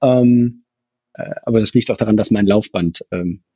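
Husky, hesitant male running coach. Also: on the low side, normal speed, mellow, dry recording. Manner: hesitant, many fillers, explains at length. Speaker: podcast co-host, running coach. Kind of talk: casual chat.

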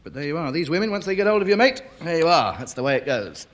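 A man's voice: fairly high pitch, very animated, quick pace, fairly bright tone. A man co-hosting a podcast, reading lines from an old radio comedy script aloud and acting them out. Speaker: podcast co-host.

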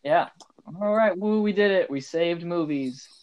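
A man's voice: high-pitched, measured pace, clean tone. A man co-hosting a casual podcast, speaking in a laid-back way with short replies. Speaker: podcast co-host. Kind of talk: casual chat.

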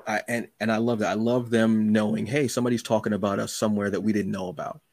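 A man's voice: fairly low pitch, level tone, quick pace, a little mellow. A man hosting a podcast, talking in a casual, effusive, rambling way and often repeating his words. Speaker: podcast host and interviewer. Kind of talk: casual, chatty interview.

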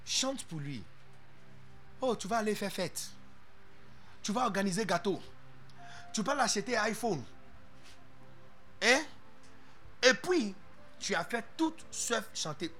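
A man preaching a sermon as pastor, animated and playful, dropping English interjections into his talk. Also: high voice.

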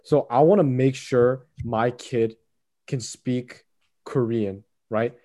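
A young man with a mellow, darker voice talks through his thoughts in relaxed conversation. He hesitates often, restarting sentences and leaning on "you know". His pitch sits in the middle range for a man. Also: slow. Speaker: friend in a group chat. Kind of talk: casual chat.

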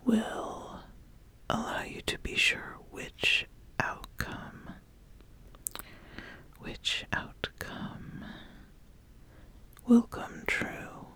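A woman as a slow, whispering, ambitious witch. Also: on the high side, heavily acted. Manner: slow, deliberate, hushed, with lingering pauses and repeated phrases. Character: ambitious witch, intrigued, sizing up a would-be apprentice. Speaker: ASMR host playing a roleplay character. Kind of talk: whispered ASMR fantasy roleplay.

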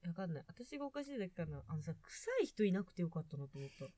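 A woman's voice: fairly low pitch, sing-song, rapid-fire, dark tone, dry recording. A woman talking informally with friends as a podcast co-host, in a colloquial, chatty style. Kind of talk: casual chat among friends.

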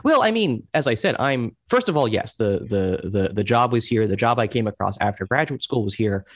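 A man in his thirties from Hong Kong, a guest in a casual talk, speaking haltingly with many restarts and fillers. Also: mid-range voice, expressive. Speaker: guest, chair of an overseas Democrats group. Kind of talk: casual conversation.

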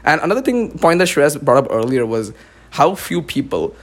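A man's voice: on the high side, big pitch swings. A young man hosting a podcast in casual chat, raised in India after a US birth, talking with lots of "like" fillers. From born in the US, raised in India. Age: young adult. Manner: fast, filler-heavy talk with many "like"s. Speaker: podcast host.

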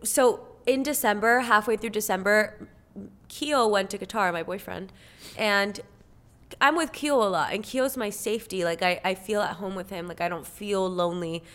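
A woman's voice: mid-range voice, moderate pace, a bit bright. A woman in her twenties from Cuba, a podcast guest talking candidly and earnestly in casual chat, with many filler words. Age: 20s.

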